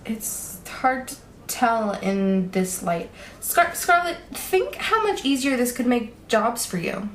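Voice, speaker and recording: A woman's voice: fairly high pitch, sing-song. Hesitant young student in a roomy echo played by a woman. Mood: incredulous, prodding. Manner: halting, stammering, questioning. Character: earnest, hesitant young college student, thief's incredulous friend. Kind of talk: audio drama dialogue, casual and conversational. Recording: roomy echo, faint background noise.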